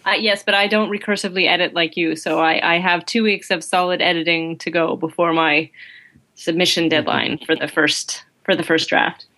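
Woman, author guest, lowish pitch, wry, laughs easily. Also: steady tone, normal speed, bright, forceful tone. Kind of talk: casual interview chat.

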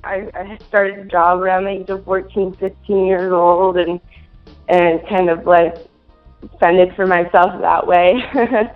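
A woman's voice: fairly low pitch, narrow, tinny audio. A twenty-year-old woman from Minnesota, answering interview questions in a hesitant way with many fillers and giggles. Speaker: interview guest.

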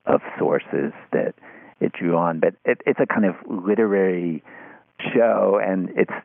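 A man speaking as an interview guest in analytical conversation, halting and circling back over his phrases. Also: low-pitched, vocal fry, dry recording. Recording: narrow phone-like line.

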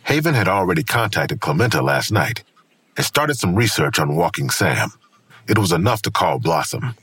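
A man narrating a crime audio drama as a scripted story, with a very husky voice. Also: deep voice, big pitch swings, moderate pace, fairly bright tone, no room sound, heavily acted. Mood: measured, observant. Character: male narrator of a noir-tinged crime drama.

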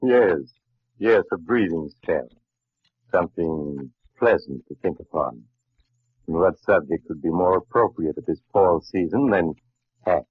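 Grave, very deep-voiced sinister storyteller. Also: male voice, slightly rough, dry recording. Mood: suave, genial. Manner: grave, deliberate, formal. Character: sinister doctor storyteller of uncanny tales, grave and ominous. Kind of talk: 1940s radio drama narration. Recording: muffled old radio broadcast.

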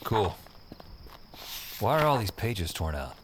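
An actor playing a wry, deadpan novice magic user in an audio drama, both in dialogue and narrating his own story. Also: lowish voice, slow pace, background noise. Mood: eager, skeptical.

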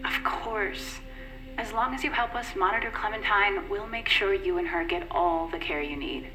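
An actress playing a composed institute official in an audio drama, measured and reassuring while quietly in control. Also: female voice, medium pitch, music in the background, close to an everyday voice, poor audio. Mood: businesslike, discreet.

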